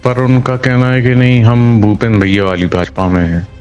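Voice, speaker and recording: A man's voice: mid-range voice, little pitch movement, normal speed, a little mellow, dull audio, soft background music. A man answering an interviewer in a colloquial way, often repeating phrases for emphasis. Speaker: local political party member being interviewed.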